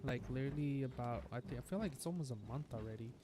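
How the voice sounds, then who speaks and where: A man's voice: medium pitch, fast, noisy background. Chatty streamer man with a nagging cough. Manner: chatty, rambling and upbeat. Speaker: gaming streamer. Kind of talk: casual gameplay commentary. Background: game music.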